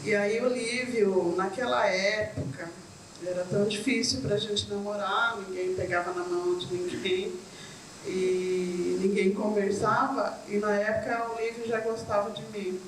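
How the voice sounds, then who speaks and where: A woman's voice: mid-range voice, fairly even intonation, a little slow. A middle-aged woman from Brazil, telling her own story as a guest in a chatty way with many tag questions. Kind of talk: casual chat. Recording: echoey room from far off, faint noise.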